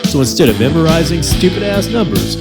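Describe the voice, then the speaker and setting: A man's voice: on the high side, big pitch swings, brisk. A man narrating a scripted comedy audio drama in a wry, crude manner. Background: loud music with guitar.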